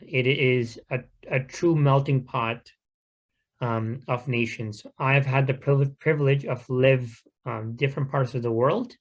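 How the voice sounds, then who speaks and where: A man addressing a community gathering in an unscripted talk. His pitch sits in the ordinary middle range. He explains things in a careful, measured and earnest way. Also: steady tone, muffled recording. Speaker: guest speaker at a community talk.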